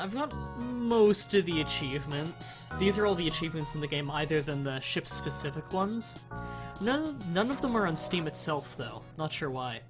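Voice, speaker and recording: Sick young man, nasal and rambling. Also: fairly high pitch. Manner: rambling, explains game mechanics with fillers and restarts. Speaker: game streamer. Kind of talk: casual let's-play commentary.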